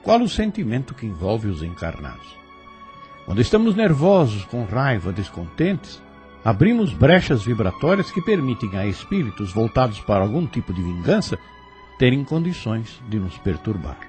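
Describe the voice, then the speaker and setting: A man's voice: on the low side, sing-song, normal speed, a little mellow. A Brazilian man giving formal, didactic spiritual commentary from a script. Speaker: commentator explaining the drama. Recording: faint music behind voice.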